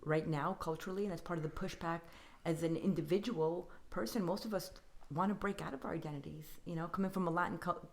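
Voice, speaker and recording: A woman with a somewhat low-pitched voice shares her thoughts in a conversational podcast. She sounds reflective and often repeats phrases for emphasis. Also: quick pace, creaky, few pauses. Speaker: podcast guest.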